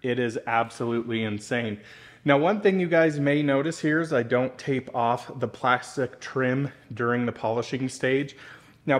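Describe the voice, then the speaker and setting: A young man, a car detailer, explaining his polishing process to viewers in an enthusiastic, instructive tone. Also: mid-range voice. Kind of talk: casual explanatory vlog narration.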